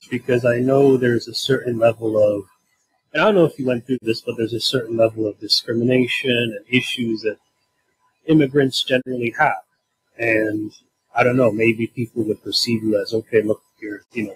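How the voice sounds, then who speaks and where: A man's voice: medium pitch, slightly rough, rough recording. A man hosting a podcast interview, asking long, meandering questions with hesitations. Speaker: podcast host.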